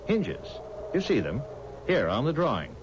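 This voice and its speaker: An actor playing a mysterious, insistent stranger in a 1970s radio drama, speaking in terse, deliberate, imperious phrases. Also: male voice, medium pitch, wildly swooping pitch. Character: insistent, mysterious male stranger ordering his own coffin. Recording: dull, noisy old radio recording.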